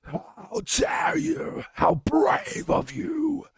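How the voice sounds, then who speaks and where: A man voicing a colossal ancient male dragon, bellowing slow, drawn-out threats in a howling voice. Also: very high voice, huge pitch swings, creaky, no echo, voice pushed up, heavily acted. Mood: scornful roaring. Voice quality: howling, roaring delivery.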